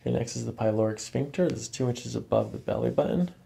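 A middle-aged man working as a chiropractor, calmly guiding a patient in short, reassuring phrases in an ASMR style. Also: mid-range voice, fast, creaky. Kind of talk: calm hands-on ASMR treatment talk, instructing a patient. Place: small carpeted treatment office, indoors. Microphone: microphones on boom stands near the table.

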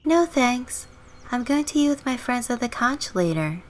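A woman voicing a bright, chirpy young female neighbour, sweet and curious, in a scripted game story. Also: on the high side, big pitch swings, normal speed, voice pushed up. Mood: sweet, delighted.

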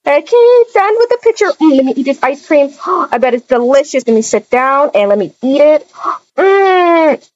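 A woman voicing an excitable, bubbly young woman in a game roleplay, gushing with breathy exclamations. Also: high-pitched, moderate pace. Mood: excited, gushing. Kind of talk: improvised video game roleplay. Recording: dull, muffled lo-fi recording.

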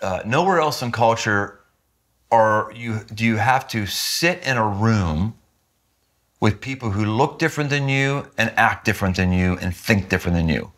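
A man in his fifties, a senior pastor, talking earnestly and emphatically in a seated interview in a living room. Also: moderate pace. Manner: earnest, emphatic, with lively jumps in pitch when stressing points. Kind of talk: sit-down interview conversation. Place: living room with window, indoors.

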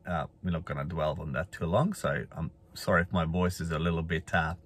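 Croaky man vlogging from his car. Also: extremely low pitch, brisk, fairly bright tone. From Australia. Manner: chatty, rambling, frequent fillers and restarts. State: croaky from a cold. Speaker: finance vlogger. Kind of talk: casual talking-head vlog. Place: inside a parked car. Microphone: phone or camera at arm's length.

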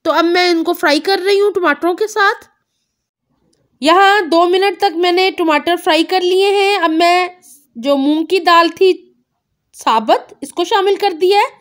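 High-voiced woman explaining a recipe. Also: unhurried. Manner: calm instructive, naming measures. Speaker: cooking channel host. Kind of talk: step-by-step recipe voice-over.

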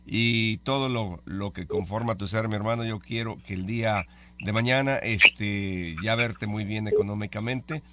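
Male radio host, fairly low voice, playful slangy banter. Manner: joking, warm slangy banter. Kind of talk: casual radio interview.

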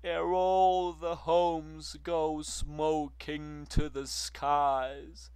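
A man voicing a grieving woman who pleads with a war god, drawn-out and impassioned. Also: on the high side, gravelly, darkish tone, smooth, no echo, voice pushed up, heavily acted.

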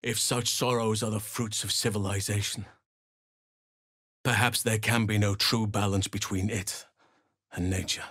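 A male actor playing a grave, earnest elf druid in a video game, speaking solemnly in measured, formal phrases. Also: lowish voice, level tone, a bit bright, no echo, clean studio sound. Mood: solemn, sorrowful. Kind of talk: scripted game voice lines.

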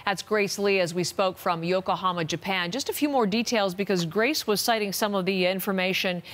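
A middle-aged woman anchoring the news from a studio, composed and clear. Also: mid-range voice, brisk. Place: television studio.

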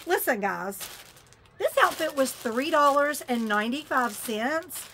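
A woman's voice: high voice, expressive, a little slow, sharp tone, slight background noise. A woman chatting casually through a shopping haul on camera, exclaiming and muttering as she opens things. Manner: chatty, exclaims and mutters while fiddling with items. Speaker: vlogger unboxing a shopping haul.

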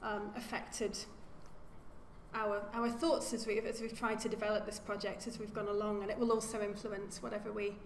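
A woman's voice: mid-range voice, flat delivery, a little hiss or hum. Woman lecturing in an echoey hall. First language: English. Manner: measured, self-correcting with repeated words. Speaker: archaeologist giving a lecture. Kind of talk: academic lecture. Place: lecture room.

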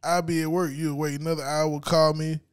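Loud, joking man with a higher voice. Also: little pitch movement, normal speed, smooth. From United States. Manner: loud, joking and profane, laughs often. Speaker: podcast co-host. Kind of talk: casual banter podcast.

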